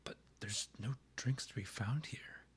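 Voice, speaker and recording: A man plays a vampire king in a romantic audio roleplay. His low voice is very breathy, often sinking into a half-whisper. He courts his beloved slowly and formally, yearning and tender. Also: fairly bright tone, full character voice. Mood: courtly, persuasive. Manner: formal, courtly phrasing, slow pleading delivery.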